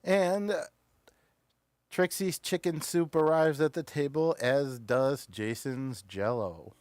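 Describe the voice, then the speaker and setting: A man hosting a casual live show and narrating an audio play in a halting, informal way. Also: fairly high pitch, a little mellow, no room sound, clean studio sound.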